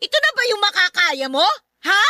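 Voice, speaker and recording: A woman's voice: very high-pitched, sing-song, fairly quick, sharp tone, dry recording, studio quality, exaggerated voice. A woman playing a harsh, short-tempered mother who berates and threatens in a Tagalog radio drama. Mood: scornful anger.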